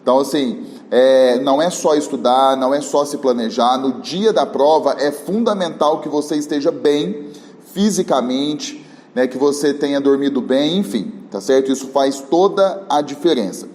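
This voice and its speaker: A man's voice: mid-range voice, normal speed, a little mellow, long reverb tail. A male teacher with a Brazilian Portuguese accent gives a chatty exam-prep video lesson, often checking in with his students, his voice a little worn from a recent cold. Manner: talkative, with frequent check-in tags.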